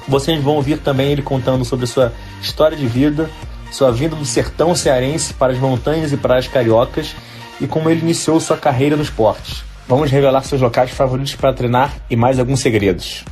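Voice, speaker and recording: A man's voice: medium pitch, a little mellow. Male podcast host reading an intro over music. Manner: upbeat, spells out words slowly. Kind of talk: scripted podcast intro and ad read. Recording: music bed under voice.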